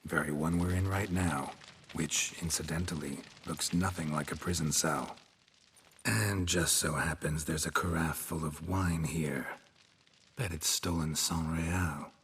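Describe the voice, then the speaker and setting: An actor playing a gruff, cynical monster hunter in a game, terse and deadpan, with a gravelly voice. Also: male voice, a bass voice, measured pace, a little hiss or hum, full character voice. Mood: dry, sardonic. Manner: terse, deadpan, clipped sentences. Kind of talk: video game cutscene acting.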